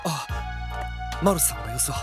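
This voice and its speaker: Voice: mid-range voice, lively intonation, normal speed, over music, close to an everyday voice. An actor playing an eager, hot-blooded young male soldier in a radio drama, with blunt, casual speech. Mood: urgent. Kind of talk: Japanese radio drama acting.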